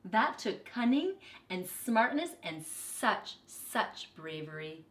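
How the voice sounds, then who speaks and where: A woman voicing a kind, gentle male schoolteacher in a children's picture book, speaking earnestly and reassuringly. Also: mid-range voice, very animated, slow pace. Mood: tender, reassuring.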